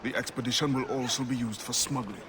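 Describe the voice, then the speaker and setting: An actor playing a defiant, driven Caribbean assassin in a video game, speaking with a commanding, resolute manner. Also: male voice, medium pitch, steady tone, quick pace, background noise, natural voice. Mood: wry, controlled.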